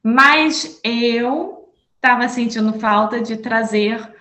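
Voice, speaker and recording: A woman's voice: on the high side, slow, sharp tone, reverberant. A woman teaching Portuguese on a video call, laying out a lesson plan and checking in with her learners. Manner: friendly, explanatory, checks in with learners.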